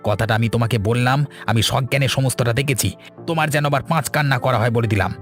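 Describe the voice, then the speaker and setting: A man's voice: mid-range voice, fast talker, soft background music, natural voice. A man playing an irritable, bossy young village son in a cartoon, scolding and exasperated. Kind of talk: Bengali cartoon voice acting.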